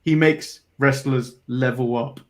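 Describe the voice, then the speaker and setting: A man's voice: mid-range voice, fairly even intonation, slow pace. A man co-hosting a casual wrestling podcast, recapping matches from notes with fillers and laughs. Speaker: podcast co-host. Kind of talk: casual wrestling review chat.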